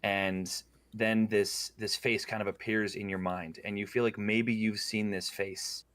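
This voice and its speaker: A low-voiced man narrates a tabletop role-playing scene as game master, his delivery unhurried and full of small fillers. The sound is close and dry, with no room in it. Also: level tone, fairly bright tone, dry recording. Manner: slow descriptive narration with fillers.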